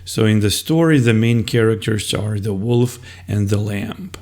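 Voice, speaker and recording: A man explaining classroom methods as a teacher, in a deliberate, step-by-step instructional style. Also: lowish voice, a little mellow.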